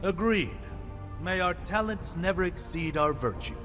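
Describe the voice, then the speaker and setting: An actor playing an earnest advisor to a warrior in a video game cutscene, measured and cautioning. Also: male voice, sits high, animated, music in the background. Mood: solemn.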